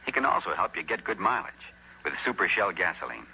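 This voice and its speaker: A man's voice: low voice, sing-song, fast talker, gravelly, slightly rough, muffled recording. A man with an American accent reading a punchy 1960s radio commercial on a vintage recording. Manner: punchy sales delivery.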